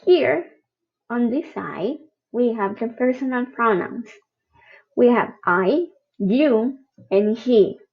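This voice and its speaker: A woman teaching a grammar lesson, slowly explaining each example and checking in with the learner. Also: on the high side, husky, highs cut off. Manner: patient, spells out each example. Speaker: English teacher. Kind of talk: slow recorded grammar lesson.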